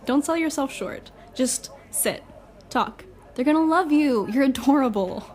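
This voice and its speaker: Woman acting brash superhero gal, kinda high voice, sweary teasing but encouraging, audio drama. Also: expressive, unhurried, darkish tone. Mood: reassuring, teasing. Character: Scarlet, brash, encouraging superpowered party host.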